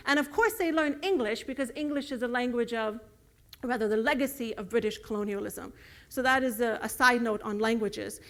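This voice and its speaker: A woman's voice: sits high, fairly bright tone. A woman whose first language is Urdu, giving an earnest lecture with slides and building emphasis on key words. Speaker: guest lecturer.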